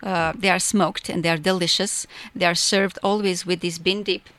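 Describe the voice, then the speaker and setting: A woman's voice: on the low side, fairly even intonation, moderate pace, a bit bright. A woman from Romania with a Romanian accent, a chatty, enthusiastic guest in a radio studio interview who laughs often. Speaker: festival organizer guest.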